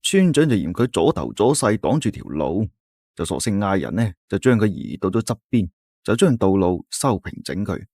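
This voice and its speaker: A man with a Cantonese accent narrating a folk tale in the first person, telling it in a scripted, dramatic and expressive way. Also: on the low side, moderate pace, husky, no room sound, clean studio sound. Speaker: storyteller.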